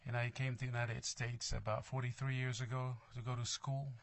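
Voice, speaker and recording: A man in his sixties with a Tongan accent, introducing himself formally at a hearing in a measured, halting, plain-spoken way. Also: medium pitch, little pitch movement. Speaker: appointee to a parole board.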